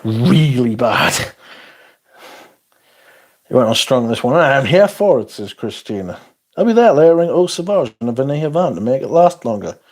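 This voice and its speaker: A man's voice: medium pitch, very animated, normal speed, long pauses, darkish tone. Sweary man hosting a livestream. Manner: sweary, sarcastic, banters with chat. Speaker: livestream host reading chat. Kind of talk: casual livestream chat.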